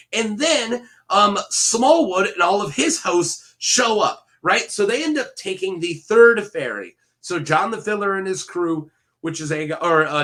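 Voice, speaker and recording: A man with a head cold talks through his topic alone, tossing in plenty of fillers and restarts as he goes. His voice sits a touch high and has a bright, forward edge. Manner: rambling, self-correcting, filler-heavy. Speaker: video host. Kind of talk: casual solo commentary.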